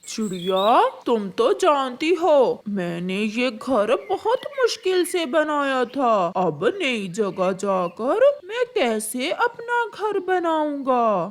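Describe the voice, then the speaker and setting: A woman's voice: high voice, very animated, slow pace, hardly any pauses, exaggerated voice. A woman voicing a hesitant, grumbling male crow husband in a children's cartoon, plaintive in manner. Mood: worried.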